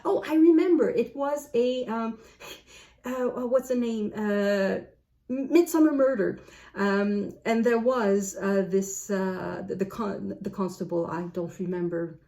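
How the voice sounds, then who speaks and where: Mellow-voiced French Canadian woman musing. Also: mid-range voice. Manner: thoughtful, unhurried, musing aloud. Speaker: book vlogger. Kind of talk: casual talk to camera.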